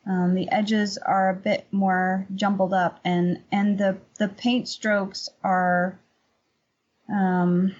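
Woman, middle-aged, husky mid-pitched voice, informal conversational interview guest, slightly dull sound. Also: flat delivery, slow, darkish tone. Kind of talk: casual conversational storytelling and explanation. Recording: dull lo-fi remote audio.

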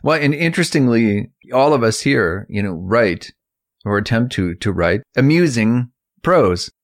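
A man's voice: medium pitch, expressive, measured pace. A man speaking in a casual podcast discussion as one of the hosts. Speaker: podcast co-host.

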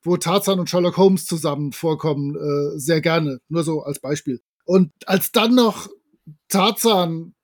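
High-voiced, playful man chatting casually. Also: measured pace. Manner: playful, jokey. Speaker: podcast guest. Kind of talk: casual podcast chat.

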